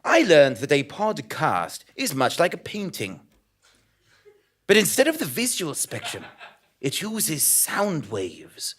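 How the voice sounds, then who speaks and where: A pompous, animated investigative journalist. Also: male voice, on the high side, exaggerated voice. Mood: self-important, earnest. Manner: pompous, deliberate, grandiose. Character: pompous, self-regarding male investigative journalist narrating his case. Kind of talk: mock-noir scripted audio drama narration.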